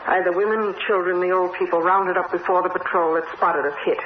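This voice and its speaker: An actress playing a brisk, stern, impatient woman who commands refugee survivors in a 1950s radio drama. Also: lowish voice, steady tone, lightly acted. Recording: tinny, noisy 1950s radio recording, roomy.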